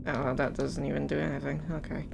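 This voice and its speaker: A woman's voice: low voice, flat delivery, brisk, creaky, noisy background. A woman livestreaming a game, musing aloud and reacting with exasperation in casual commentary.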